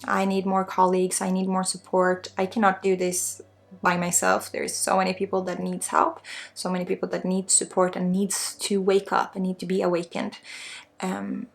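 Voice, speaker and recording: A woman with a Swedish accent guides an ASMR energy healing, soft-spoken and reassuring as a spiritual guide. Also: lowish voice, monotone, normal speed, creaky. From Sweden. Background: music.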